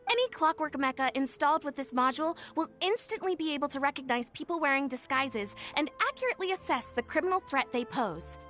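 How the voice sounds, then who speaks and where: A woman voicing an enthusiastic young female tech inventor in a video game, with an upbeat pitchman's patter. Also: expressive, fairly quick, hardly any pauses, fairly bright tone, faint music behind. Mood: determined. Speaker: game character.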